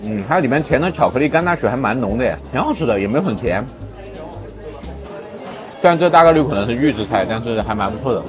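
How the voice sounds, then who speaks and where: A man's voice: mid-range voice, animated, fast talker, music in the background, crowd noise. A man hosting a food vlog, chatting casually and explaining as he goes. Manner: conversational, explanatory. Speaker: food vlogger, host. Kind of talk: casual on-location food review.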